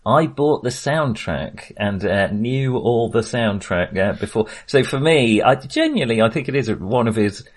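A middle-aged man co-hosting a film podcast, talking casually and haltingly, often restarting his sentences. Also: mid-range voice, animated. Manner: hesitant, halting delivery with false starts; chuckles often while talking.

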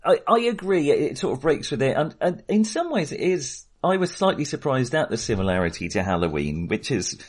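A man's voice: medium pitch, very animated, fairly quick, few pauses. A man in a casual film discussion, thinking aloud hesitantly and often correcting himself. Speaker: film podcast host.

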